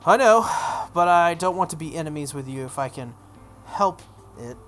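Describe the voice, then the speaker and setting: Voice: mid-range voice, lively intonation, moderate pace, fairly bright tone. A video game playthrough host reading a story aloud dramatically, with casual offhand asides. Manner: acts out dialogue, breaks off for offhand comments.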